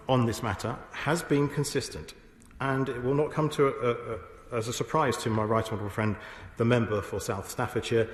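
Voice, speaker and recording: A man speaking as a government minister in a parliamentary debate, measured and formal, often stumbling and restarting. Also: mid-range voice. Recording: echoey chamber broadcast feed.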